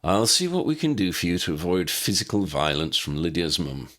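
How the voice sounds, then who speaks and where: A man reads the part of a grown wizard lawman in a storybook, low-voiced and friendly. He is plain, reassuring and dry, with very mobile intonation. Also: big pitch swings, brisk, fairly bright tone. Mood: wry.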